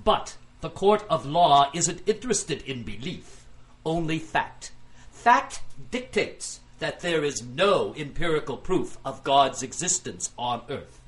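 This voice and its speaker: A man playing a self-assured, pompous plaintiff in an audio drama, addressing a court with deliberate, oratorical delivery. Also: a little high, big pitch swings. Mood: pompous, assertive. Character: self-assured male plaintiff arguing pompously against God.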